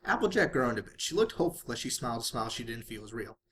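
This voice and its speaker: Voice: medium pitch, big pitch swings, fast, a little hiss or hum. An amateur reader narrating a story aloud in the manner of a home-made audiobook. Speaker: fan fiction reader.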